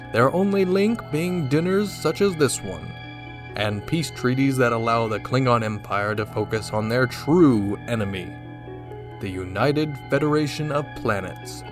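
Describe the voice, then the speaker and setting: Man performing a dramatic radio announcer, mid-range pitch, portentous storytelling, sci-fi adventure serial, prominent music bed. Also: natural voice. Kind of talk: old-time radio serial announcer narration. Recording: loud music under the voice.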